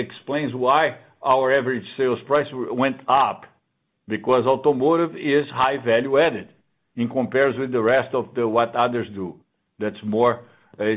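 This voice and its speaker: A man speaking as a company executive, answering questions off the cuff on a conference call, repeating words haltingly yet sounding emphatic and assured. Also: mid-range voice. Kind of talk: unscripted earnings call answers. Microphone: conference call line. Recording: narrow, tinny phone-line sound.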